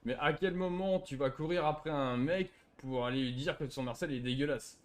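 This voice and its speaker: A man's voice: fairly high pitch, normal speed. A man bickering casually as a gaming streamer, sarcastic, provocative and nitpicking. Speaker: gaming streamer trolling players. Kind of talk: casual bickering in online roleplay game.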